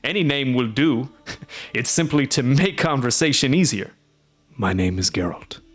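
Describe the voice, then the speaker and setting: A man voicing a calm, terse monster hunter who speaks quietly in short, flat lines. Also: medium pitch, measured pace, faint music behind.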